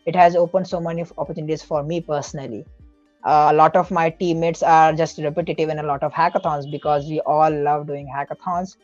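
A man's voice: a little high, little pitch movement, a little fast, no echo, dull audio, faint music behind. A man speaking as a podcast guest, giving earnest, explanatory advice in a casual way, often correcting himself mid-sentence.

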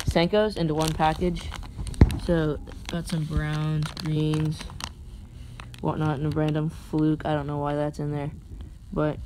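A man casually showing his fishing tackle, hesitant and rambling, with unsure asides, recorded on a phone in a carpeted room at home. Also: a little high. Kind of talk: casual unscripted show-and-tell. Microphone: phone camera held over the gear. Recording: phone recording with clear background noise.

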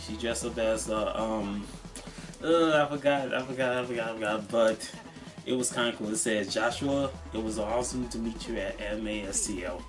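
A young man chatting casually in an unscripted vlog, halting and repeating himself as he stumbles over his words. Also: fairly low pitch, over music. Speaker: vlogger showing convention purchases. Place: small cluttered room at home, indoors.

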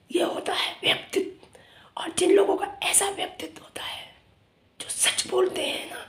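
A middle-aged woman vlogging casually to camera with a hoarse, lost voice, talking emphatically in a half-whisper from a small room. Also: very high-pitched, a bit bright, roomy sound. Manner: emphatic, whispery from a sore throat.

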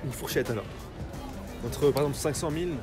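A young man works a city sidewalk with a phone held out, firing off short questions. He repeats every answer back briskly. Music plays clearly behind him. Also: fairly high pitch. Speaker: street interviewer. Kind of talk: street vox pop questions. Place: city street outdoors. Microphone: phone held out to the guest.

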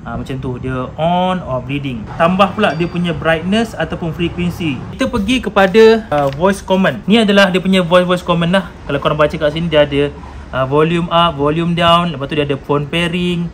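A man's voice: on the high side, moderate pace. A man with a Malaysian accent giving a casual, hands-on product demonstration, mixing in English tech terms. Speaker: motorcycle gear reviewer. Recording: clearly noisy room recording.

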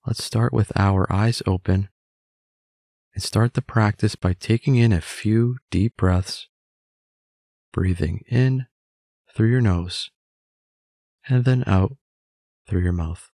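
Calm guy doing guided meditation, really slow n gentle, bit of vocal fry. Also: fairly low pitch, no room sound, studio quality. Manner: calm, measured, gently instructive.

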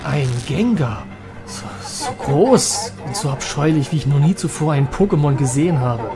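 A man's voice: a little high, animated, moderate pace, over music. A man playing a haunted, desperate young monster trainer, telling his story in a horror audio drama. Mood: haunted dread. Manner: dramatic, anguished. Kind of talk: first-person horror audio drama narration.

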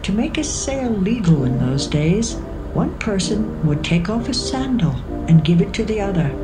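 A woman narrating scripture from a script in a measured storytelling manner. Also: on the low side, low-quality recording. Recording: music behind the voice.

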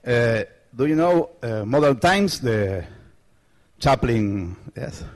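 Middle-aged argentinian guy giving a talk, halting english with lots of uhs, really slow, handheld mic in a big hall. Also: medium pitch, animated. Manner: halting non-native English with many fillers and restarts. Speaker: conference presenter. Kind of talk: conference talk. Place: large lecture hall stage. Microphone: handheld microphone.

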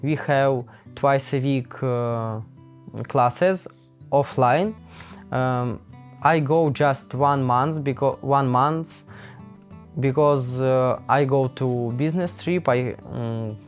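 Young male vlogger with a Ukrainian accent, talking off the cuff, very slow and full of hesitations. Faint guitar music plays underneath. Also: medium pitch. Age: young adult. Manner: halting and hesitant, with many pauses and fillers. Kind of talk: unscripted casual talk to camera.

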